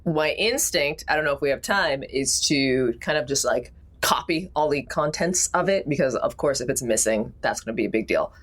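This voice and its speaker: A woman's voice: rumbling low, animated, moderate pace, few pauses, slightly rough. A woman player in casual game-table chat, playful and thinking aloud.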